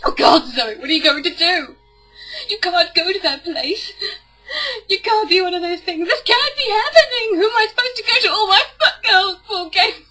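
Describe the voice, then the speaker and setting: A woman's voice: very high voice, moderate pace, bright, forceful tone, a little hiss or hum, pitched above the performer's own voice. A woman voicing a panicky, melodramatic teenage girl who wails through mock sobs in a book reading. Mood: hysterical, sobbing. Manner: wailing, overwrought. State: mock crying.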